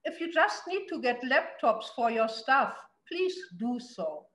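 A middle-aged woman with a German accent, speaking earnestly on a webcam call. Her voice sits a little high and rings in the room, and she stops and restarts often as she presses her points. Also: big pitch swings, unhurried, fairly bright tone, reverberant. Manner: earnest, emphatic, frequent restarts and fillers. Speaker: city investment promotion official. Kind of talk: panel discussion on a video call. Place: indoor room at home. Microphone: laptop webcam on a video call.

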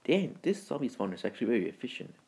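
A man hosting a gaming video with casual, rambling, halting commentary in informal words. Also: medium pitch, big pitch swings, darkish tone, slightly rough. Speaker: gaming video creator and host.

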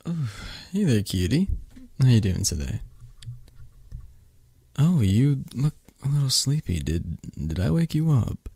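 A man playing a gentle, teasing, protective dragon boyfriend at university in an intimate ASMR roleplay, speaking softly and coaxingly to the listener. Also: lowish voice, creaky, husky, dry recording, heavily acted.

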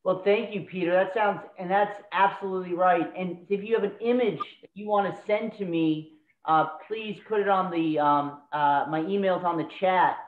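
Lady running a zoom workshop, upbeat, calling on folks, sounds tinny and roomy. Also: lowish voice. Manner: brisk, encouraging, calls on people by name. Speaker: workshop host. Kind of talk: casual moderation. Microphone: video call. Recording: tinny phone-like echoey call audio.

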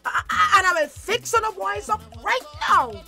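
Brassy, high-pitched Belizean bar woman. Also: expressive, moderate pace, creaky, sharp tone, faint music behind, full character voice. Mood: polite, flustered. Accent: Belizean Creole. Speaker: radio drama actor. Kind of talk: radio drama acting.

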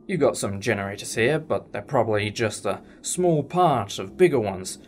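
A man's voice: fairly low pitch, animated, moderate pace, slight background noise, close to an everyday voice. A man playing a driven, defiant time-travelling hero in a fan audio drama, earnest and dramatic.

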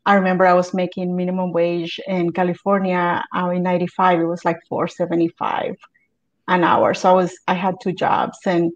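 Middle-aged woman, video call guest, flat low voice, dull lo-fi call audio. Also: normal speed. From El Salvador. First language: Spanish. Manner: steady, many ums, small restarts. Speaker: guest, community advocate. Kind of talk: telling her life story in an interview. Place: home room with bookshelves.

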